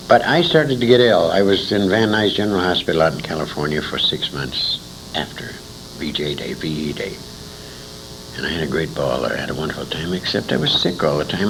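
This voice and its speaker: An American man in his sixties telling anecdotes in an interview, in a dramatic, emphatic and salty storytelling manner. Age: 60s. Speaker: interviewee, former radio actor. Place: recorded interview at a convention. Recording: noisy 1980s amateur tape with rumble.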